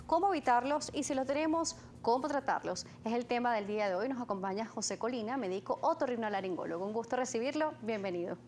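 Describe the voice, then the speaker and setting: A woman hosting a health interview, introducing her guest and asking clear, orderly questions. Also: fairly high pitch, lively intonation, fast talker, hardly any pauses, darkish tone, a little hiss or hum. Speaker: host.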